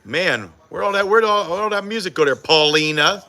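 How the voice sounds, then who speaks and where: A man co-hosts a live morning TV show with a bright, ringing voice that is a little high for a man. He jokes, teases and pokes fun at himself, and his pitch swings widely as he talks. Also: expressive, a little fast. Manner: jokey, teasing, self-mocking. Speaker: morning TV news co-host. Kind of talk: live behind-the-scenes morning show banter. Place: TV studio during a live broadcast.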